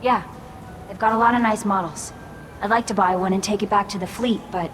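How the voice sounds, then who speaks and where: A woman's voice: medium pitch, steady tone, fairly quick, a little hiss or hum, lightly acted. Shy young alien girl played by a woman. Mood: guarded. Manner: hesitant, unassuming. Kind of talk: video game voice acting.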